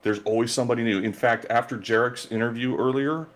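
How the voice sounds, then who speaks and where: A man's voice: mid-range voice, steady tone, fast. A man guest in a casual interview, talking earnestly and gratefully about his gaming group.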